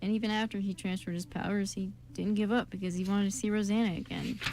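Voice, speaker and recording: A woman's voice: mid-range voice, steady tone, quick pace, noisy background, lightly acted. A woman voicing an earnest, loyal, shy young man in an amateur radio drama.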